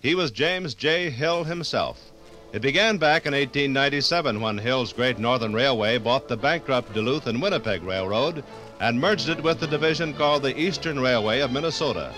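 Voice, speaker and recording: A man's voice: mid-range voice, expressive, moderate pace, a bit bright. Formal male radio narrator with music. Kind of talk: scripted 1950s radio documentary narration.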